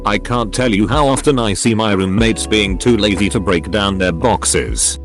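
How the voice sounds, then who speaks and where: A man narrating, reading forum posts aloud in a steady, even delivery. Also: fairly low pitch, moderate pace. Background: background music.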